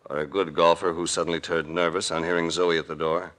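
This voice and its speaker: An actor playing a shrewd, calm, world-weary investigator with an American accent and a cool, deliberate delivery in an old radio drama. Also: male voice, deep voice. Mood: cool deduction. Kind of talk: 1940s-50s radio drama acting. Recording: vintage radio recording.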